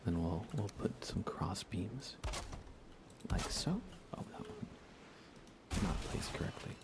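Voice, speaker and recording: A young man softly murmuring on an ASMR gaming livestream in an unhurried, casual way, often close to a whisper, near the mic. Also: fairly low pitch, huge pitch swings, very noisy. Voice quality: soft, hushed, often slipping into breathy whisper. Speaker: livestream host.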